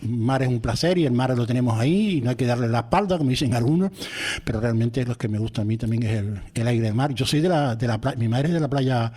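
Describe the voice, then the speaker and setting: Halting Canarian man, mid-pitched voice. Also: mellow. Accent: Canary Islands Spanish. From Tenerife, Canary Islands. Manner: rambling, halting, repeats words. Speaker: author guest. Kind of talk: radio interview. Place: radio studio.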